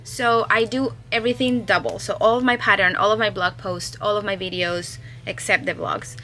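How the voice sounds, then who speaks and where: A woman's voice: mid-range voice, fairly even intonation, normal speed, sharp tone, slight background noise. A young woman from Chile, a native Spanish speaker, chatting casually at home about her knitting and crochet designs and thinking aloud. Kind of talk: casual vlog chat.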